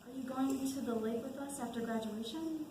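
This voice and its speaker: A woman's voice: high voice, brisk, natural voice. A young woman in a school play acting an outspoken, caring teenage friend. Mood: curious. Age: young adult. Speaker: student actor in a one-act school play. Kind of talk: amateur stage acting. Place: indoor stage, recorded from the audience. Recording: roomy echoing stage recording, loud noise.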